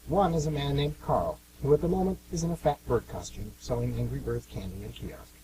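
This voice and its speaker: A man's voice: medium pitch, fairly quick, dark tone, low-quality recording. A man hosting and narrating a scripted amateur radio play. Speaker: host and narrator. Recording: amateur radio with clear hum noise.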